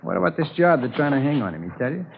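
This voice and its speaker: An actor in a 1950s radio crime drama playing a cocky, prying jailed killer with a slangy, needling way of talking. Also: male voice, medium pitch, big pitch swings, very fast, creaky, a little hiss or hum. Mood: probing. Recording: dull old radio recording.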